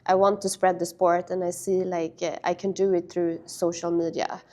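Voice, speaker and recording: A young adult woman who grew up in Sweden, speaking as an interview guest in halting sentences full of fillers and restarts. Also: fairly low pitch, little pitch movement, dark tone. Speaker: interview guest, padel player. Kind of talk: casual interview.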